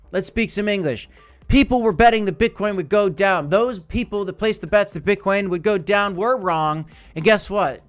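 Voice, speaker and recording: A man hosting a casual crypto live stream, chatty and hyped, calling out viewers by name, his voice going hoarse. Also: high voice, fairly bright tone. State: losing his voice.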